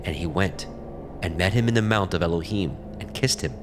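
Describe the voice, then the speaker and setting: A man narrating scripture from a script in a measured, solemn manner. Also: deep voice, noisy background. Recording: music behind the voice.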